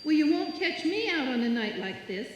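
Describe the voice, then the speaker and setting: A woman's voice: sits high, big pitch swings, moderate pace. A woman playing a cranky, alarmist old neighbor lady in a live old-time radio play, emphatic and folksy. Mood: scolding, alarmed. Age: older. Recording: very echoey hall, faint noise.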